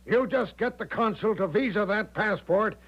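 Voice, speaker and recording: An actor playing a big, bluff, overconfident American major who breezily sweeps objections aside in a 1970s radio drama. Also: male voice, sits high, moderate pace. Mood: confidently reassuring. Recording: dull vintage radio recording.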